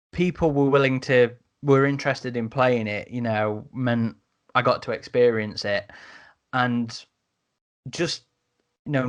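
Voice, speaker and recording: A man in a casual podcast discussion as a host, speaking a little haltingly and repeating words as he goes. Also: medium pitch.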